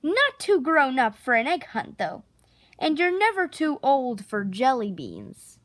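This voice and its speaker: A woman narrating a children's picture book expressively, with theatrical, drawn-out emphasis on key words. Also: high voice, huge pitch swings, a bit bright, dry recording. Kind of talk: expressive children's storybook read-aloud.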